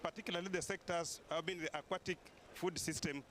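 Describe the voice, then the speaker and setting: A man putting a formal question to a panel, with hesitant, drawn-out phrasing. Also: on the high side, fairly even intonation, a bit bright, a little hiss or hum. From East Africa. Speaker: audience member questioning a panel.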